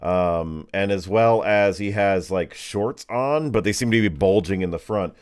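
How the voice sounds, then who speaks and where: A man's voice: on the low side, measured pace, clear, resonant tone. A man narrating a tabletop game as game master in a loose, conversational, improvised way, sounding easily amused.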